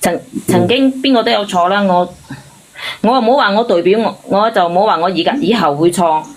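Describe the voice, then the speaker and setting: A woman's voice: mid-range voice, a little fast, rough recording. A woman in her thirties with a Hong Kong Cantonese accent, talking defensively and pleadingly as a caller seeking advice. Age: 30s. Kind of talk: casual, argumentative call-in chat.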